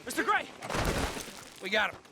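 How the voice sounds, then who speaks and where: An actor voicing a pompous, self-important small-town sheriff with a Southern US accent in a video game. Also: male voice, sits high, very animated, normal speed, over music. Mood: pompous, curt. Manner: pompous, blustering. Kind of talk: video game dramatic acting.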